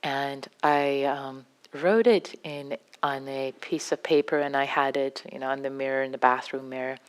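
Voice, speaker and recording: A woman with a Farsi accent, a meditation teacher giving an unscripted dharma talk in a gentle, halting way with many restarts. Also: extremely low pitch, steady tone. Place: meditation hall.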